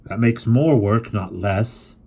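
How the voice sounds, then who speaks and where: A man voicing a cheerful, brisk father who teases his sons. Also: lowish voice, a little mellow, husky, pitched above the performer's own voice, exaggerated voice.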